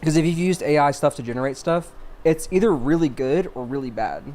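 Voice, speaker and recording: A young man with a voice a little higher than average chats casually as a podcast co-host. His talk is loose and slangy, with swear words dropped in freely. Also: brisk, a little mellow, faint music behind.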